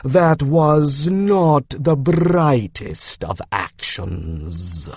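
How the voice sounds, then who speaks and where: A man voicing an earnest, sheepish young griffin in an audiobook, speaking in a slow, halting and formal manner. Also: fairly high pitch, lively intonation, slightly rough, no room sound, full character voice. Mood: defensive. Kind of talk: audiobook character voice.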